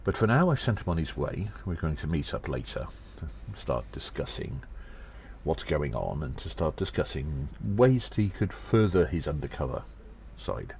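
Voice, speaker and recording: A man playing an earnest undercover gnome agent in an audio drama, reporting in a measured, halting, formal and conspiratorial way. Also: very deep voice, sing-song, vocal fry, darkish tone, a little hiss or hum, exaggerated voice. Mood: thoughtful, conspiratorial. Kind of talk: first-person audio drama monologue, spoken report.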